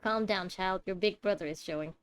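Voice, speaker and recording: Female game streamer, mid-pitched, chatty and wry, reacting live with soft music behind her. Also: fairly quick. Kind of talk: casual live playthrough commentary. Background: faint game music.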